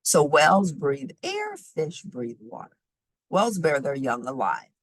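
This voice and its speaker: A woman reading a children's nonfiction book aloud in an expressive, emphatic, teacherly way, pausing for short explanatory asides. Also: low-pitched, no room sound, studio quality. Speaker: read-aloud host.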